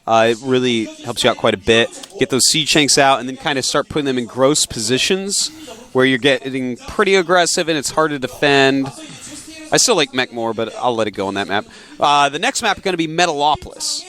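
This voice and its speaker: A man giving measured, analytical commentary on a strategy game match, with dry humor. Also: medium pitch, animated, fairly bright tone. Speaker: esports commentator.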